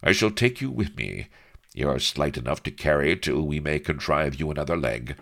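Man playing a haughty, steely gentleman adventurer. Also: extremely low pitch, animated, normal speed, vocal fry, exaggerated voice. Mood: cold, commanding. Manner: clipped, scornful, archaic formal speech.

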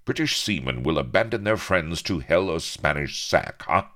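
Stuffy heroic naval chap, raised pitch, animated swoops, plummy, patriotic, indignant, comic storybook. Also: male voice, normal speed. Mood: haughty. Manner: plummy, indignant, schoolboyish exclaiming. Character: pompous, patriotic young male naval hero, easily outraged.

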